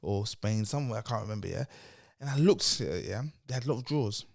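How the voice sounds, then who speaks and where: A young man, a podcast guest chatting about football in an animated, slangy way. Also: on the low side, fast talker, vocal fry, no echo, clean studio sound. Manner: animated, uses slang like bro and yeah. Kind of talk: casual football chat.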